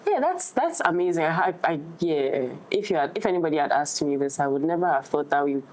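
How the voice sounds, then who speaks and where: A woman's voice: sits low, expressive, a little fast, a little mellow, low-quality recording. A woman chatting casually and playfully as an actress in a discussion. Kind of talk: casual chat. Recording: noticeable background noise.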